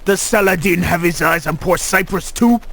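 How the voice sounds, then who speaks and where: Creaky high-ish voiced shady merchant for a game, mocking, clear background noise. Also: male voice, moderate pace, a bit bright. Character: sneering, double-dealing male merchant in medieval Cyprus.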